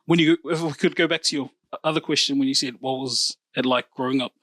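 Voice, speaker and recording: A man, a podcast co-host answering questions thoughtfully, pausing and correcting himself. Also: mid-range voice, fairly even intonation, fairly bright tone, dry recording. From New Zealand. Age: 30s. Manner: thoughtful, hesitant, self-correcting. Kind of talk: reflective interview answers.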